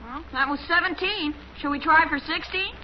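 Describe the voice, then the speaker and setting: Young American boy, high voice, earnest, quipping, old radio comedy, heavy noise. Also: normal speed. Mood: matter-of-fact. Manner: matter-of-fact, quipping. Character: earnest, wisecracking young boy, loyal son. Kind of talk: 1950s radio sitcom acting. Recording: noisy, dull 1950s radio broadcast.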